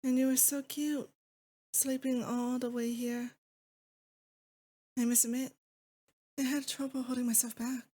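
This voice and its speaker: Woman playing a high-voiced, possessive demon queen. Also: level tone, measured pace, pauses often, warm tone. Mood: doting, possessive. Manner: intimate, doting, theatrical, dropping into whispers. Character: possessive, lovesick female demon lord, sweetly menacing conqueror. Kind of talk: romantic ASMR roleplay, scripted acting.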